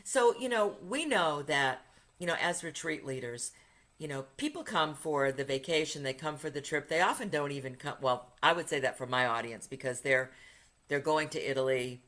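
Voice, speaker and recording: A woman's voice: low voice, expressive, moderate pace, fairly bright tone. Chatty upbeat woman hosting an interview. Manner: chatty and upbeat, laughs while telling stories. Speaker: podcast host, retreat leader. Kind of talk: casual interview conversation.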